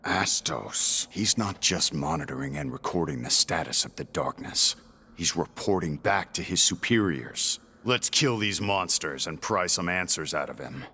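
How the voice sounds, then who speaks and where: Very deep, gravelly, brooding game warrior. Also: male voice, animated, a little slow, bright, forceful tone, a little hiss or hum, full character voice. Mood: grim, resolute. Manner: blunt, terse, intense. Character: gruff, brooding, driven warrior man bent on destroying chaos. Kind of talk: English video game voice acting.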